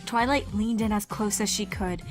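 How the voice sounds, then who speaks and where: A woman's voice: medium pitch, steady tone, normal speed, over music. A woman giving a scripted narration of a fan fiction horror story.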